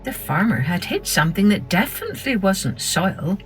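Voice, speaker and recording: A woman narrating a scripted children's story in a measured, expressive storytelling manner. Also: medium pitch, faint music behind. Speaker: storytelling podcast host.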